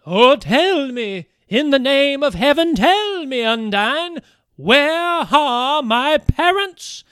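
A proud young noblewoman in a storybook breaks into desperate, tearful pleading, as a man voices her. The voice sits high and loud, and it drags slowly with emotion. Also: sing-song, no echo, studio quality, heavily acted. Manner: desperate, imploring. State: crying.

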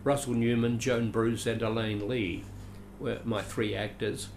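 A man's voice: lowish voice, steady tone, a little slow, creaky, darkish tone, a little hiss or hum. An older Australian man, a veteran actor, reminiscing in a rambling, chatty way with dry self-deprecating asides. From Adelaide, Australia. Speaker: veteran actor, interview guest. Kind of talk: reminiscing conversational interview.

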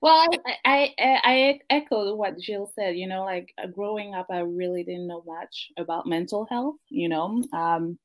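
A woman from Africa, a guest in a casual chat, talking reflectively with many pauses and restarts. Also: medium pitch, no room sound. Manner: thoughtful, many fillers and restarts.

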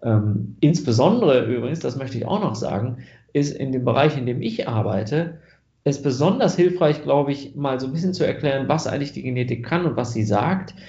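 A man's voice: medium pitch, expressive, brisk, mellow, roomy sound, dull audio. A German man answering interview questions about genetics research, thoughtful, with many fillers and restarts.

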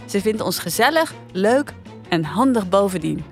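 A woman's voice: medium pitch, sing-song, moderate pace, faint music behind. A woman hosting a podcast in a casual, conversational studio style. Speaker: podcast review host.

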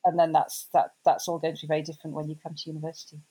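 A woman with a slightly low voice hosts an interview. She sounds encouraging and affirming, and she often praises her guest and sums up what the guest has said. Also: completely flat delivery, very fast, warm tone, slightly rough, poor audio. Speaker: interviewer, host. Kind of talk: supportive interview.

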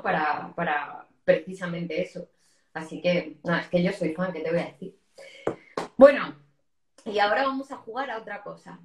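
A woman from hosting a casual live interview, talking in an informal, explanatory way. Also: fairly low pitch. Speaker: host of a live interview show. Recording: echoey room sound.

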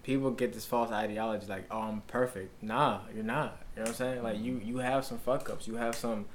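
A man's voice: mid-range voice, fairly even intonation, brisk, slight background noise. A man from South Sudan, a podcast guest talking earnestly and emphatically in casual chat, often asking "you know what I'm saying".

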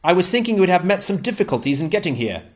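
Man putting on a cheerful gentleman-scholar, high lifted voice, lively, eager. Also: racing. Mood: cheerful, urgent. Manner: warm, lively, breathless with enthusiasm. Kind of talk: audiobook character voice.

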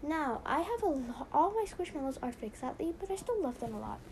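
A woman's voice: high voice, sing-song, a little fast, a little hiss or hum. A young woman hosting a casual podcast, chatty and rambling with lots of "so yeah". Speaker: podcast host.

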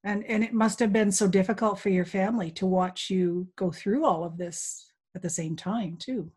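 A woman hosting a podcast interview, speaking softly and offering supportive backchannels. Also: mid-range voice, normal speed, slightly rough. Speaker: podcast host. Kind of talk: conversational interviewing.

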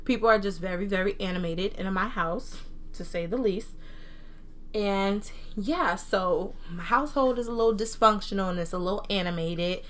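A woman's voice: medium pitch, moderate pace, a little hiss or hum. A young woman from New Jersey with a mixed Jersey and Southern American accent, chatting casually and animatedly as a solo podcast host. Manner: animated, informal, slangy. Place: own room at home.

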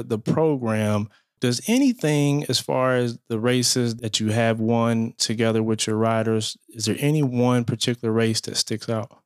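A man's voice: medium pitch, dry recording, clean studio sound. A man hosting a casual podcast interview, upbeat and easygoing, often saying "man" and "you know". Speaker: podcast host.

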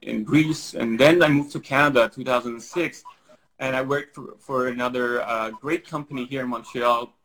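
A man's voice: medium pitch, steady tone, normal speed, a bit bright, poor audio. Rambling Syrian-raised man. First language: Armenian. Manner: rambling, many fillers and restarts. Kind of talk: casual interview answers.

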